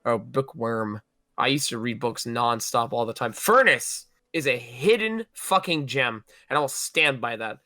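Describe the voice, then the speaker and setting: A young man guesting on a podcast with a hoarse voice, telling stories in an animated, profane way. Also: mid-range voice, a little slow, dry recording, clean studio sound. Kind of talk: casual chat.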